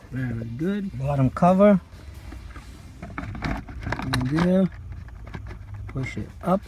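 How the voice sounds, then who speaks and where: A man from Brazil with a Brazilian Portuguese accent, casually explaining a car repair while working and filming on a phone in one hand, with loose, repetitive stop-start talk. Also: a little high. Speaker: DIY car repair youtuber. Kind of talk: casual how-to demonstration. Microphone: phone held in one hand.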